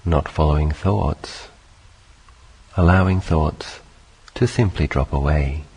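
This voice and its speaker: A man leading a guided meditation, speaking calmly in short, unhurried phrases with long pauses between them. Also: a bass voice, creaky, breathy edge, poor audio. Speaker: meditation teacher.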